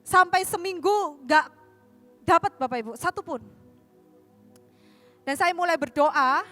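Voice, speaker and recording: High bright-voiced Javanese woman preaching. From Surabaya, East Java. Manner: lively, asks the audience questions, storytelling. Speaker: evangelist teaching a class. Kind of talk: church teaching talk.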